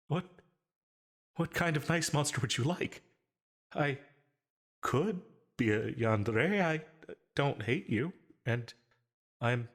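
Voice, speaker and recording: A male actor performs a lonely demon who longs to be real, speaking close and intimately in an ASMR roleplay. His husky, breathy voice moves slowly, with hesitant stammers and long halting gaps. He sounds anxious and possessive, a monster unsure of himself. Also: medium pitch. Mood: tentative, yearning.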